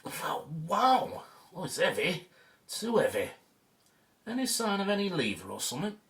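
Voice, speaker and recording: An actor in an audio drama playing an excitable, flippant man on an archaeology team, chatty and quick to exclaim. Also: sits high, full character voice. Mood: amazed, joking.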